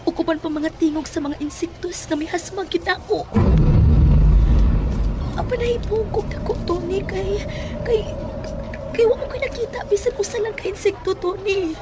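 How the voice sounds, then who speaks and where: A woman's voice: very high-pitched, normal speed, pauses often, over loud music. A woman playing a frightened young woman in a horror audio drama, speaking with a Cebuano accent. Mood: anxious, fearful.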